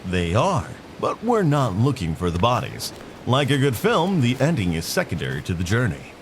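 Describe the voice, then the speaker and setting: A man's voice: mid-range voice, wildly swooping pitch, moderate pace, background noise. A man playing an eccentric, chatty FBI agent in an audio drama, with formal, articulate and quirky speech. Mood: brisk, quirky. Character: eccentric, chatty male FBI agent dictating to tape recorder.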